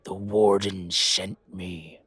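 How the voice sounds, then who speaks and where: Man doing a gruff, low, husky werewolf. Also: level tone, fairly bright tone, dry recording, full character voice. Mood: curt, businesslike. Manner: terse, surly, halting. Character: gruff, weary, curt male werewolf.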